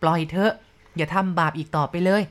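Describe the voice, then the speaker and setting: A scrawny old shaman, short-tempered and commanding, snaps orders in a fairly low voice, as a woman narrator plays him. The delivery stays close to a plain storytelling voice. It comes across as a told ghost story. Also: brisk, close to an everyday voice. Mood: pleading. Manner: stern, commanding. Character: thin old male Karen shaman, stern and irritable.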